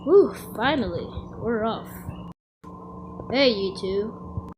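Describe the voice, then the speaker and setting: A woman's voice: fairly high pitch, expressive, takes it slowly, lo-fi sound, noisy background. A woman voicing an earnest, kindly but anxious steam engine character from a children's cartoon, with a fretful, exclamatory delivery. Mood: worried, weary. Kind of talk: animated children's series character lines.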